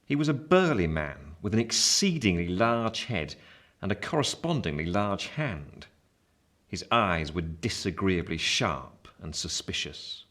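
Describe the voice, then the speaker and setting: A man's voice: low voice, big pitch swings, unhurried, fairly bright tone, heavily acted. A man acting a reflective narrator who recalls his boyhood in a scripted radio drama. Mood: measured storytelling.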